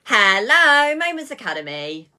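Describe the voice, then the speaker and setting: A woman's voice: fairly high pitch, sing-song, a little slow, bright, forceful tone, voice pushed up, exaggerated voice. A woman playing an anxious mother who speaks urgently and flusteredly. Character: anxious exasperated mum, rings around for her daughter.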